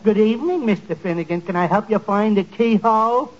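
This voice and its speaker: An actor playing a hapless, dim-witted barfly, earnestly complaining in a doltish, hesitant way in 1940s radio comedy. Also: male voice, high-pitched, fairly quick, close to an everyday voice. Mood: aggrieved. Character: dim-witted, hapless working-class barfly, sincere and slow. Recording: muffled, noisy 1940s radio recording.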